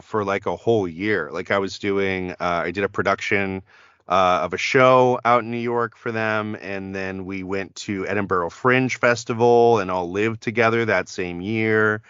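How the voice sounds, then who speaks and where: A man's voice: fairly low pitch, fairly bright tone, clean tone, dry recording, muffled recording. A man, a voice actor, chatting as a podcast guest, rambling with many fillers and restarts. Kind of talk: casual podcast interview.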